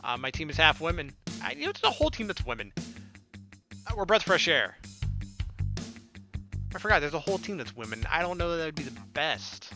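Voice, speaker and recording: Animated man doing game playthrough commentary. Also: on the high side, a little fast, pauses often, over music. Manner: joking asides, casual. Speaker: gaming video host. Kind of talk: playthrough commentary and reading game text aloud.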